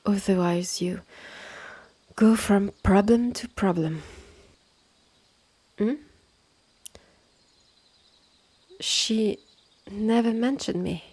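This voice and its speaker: A woman plays a calm, immortal healer and protective elder sister in an ASMR fantasy roleplay. She has a French accent and a mellow, breathy voice that often slips into whispers. Her delivery is slow and soothing. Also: mid-range voice, no echo. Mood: caring, wry.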